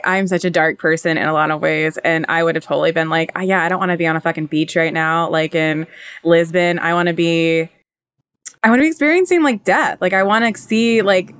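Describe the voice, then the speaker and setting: A woman's voice: fairly low pitch, a bit bright, clean tone, no room sound, clean studio sound. A young woman from the United States hosting a podcast, chatting bluntly and playfully with casual swearing. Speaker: podcast host. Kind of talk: casual chat.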